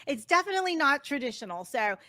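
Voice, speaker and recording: Podcast host, woman, high and bright voice, enthusiastic, dry close sound. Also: big pitch swings, fast talker, no room sound. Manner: enthusiastic, welcoming. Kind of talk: upbeat podcast hosting.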